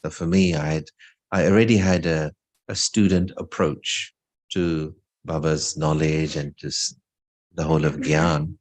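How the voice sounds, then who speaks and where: A man's voice: rumbling low, fairly even intonation, measured pace. A middle-aged man giving an informal spiritual talk about his own life, reflective and lightly humorous. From England. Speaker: spiritual speaker. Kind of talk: informal talk sharing personal story.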